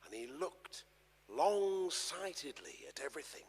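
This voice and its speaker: A man reading scripture aloud as a dramatic narrator, with deliberate, expressive storytelling delivery. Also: fairly high pitch, slight background noise. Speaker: actor reading the narrator of a gospel. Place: large church, live performance before an audience. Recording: long echoey church reverb.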